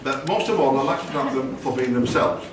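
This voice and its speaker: A man's voice: medium pitch, brisk. An older man giving a jokey, rambling father-of-the-bride wedding speech, often correcting himself as he goes. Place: wedding reception hall. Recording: echoey hall from far off, noisy.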